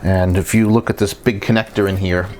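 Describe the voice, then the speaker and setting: A man's voice: low voice, flat delivery, fairly quick, a little mellow, a little hiss or hum. A man giving a casual, hands-on repair tutorial as a technician, talking in a plain-spoken, conversational and explanatory way.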